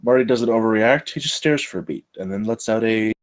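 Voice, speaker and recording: A man's voice: lowish voice, a little fast. A man reading stage directions as the narrator of a screenplay table read.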